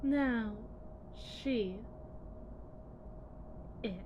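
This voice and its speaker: A woman playing a cold, condescending lead technician in an ASMR audio roleplay, speaking deliberately and often dropping toward a breathy whisper. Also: on the high side, highs cut off, noisy background. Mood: clinical, detached. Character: cold, condescending female lead technician at shady tech company.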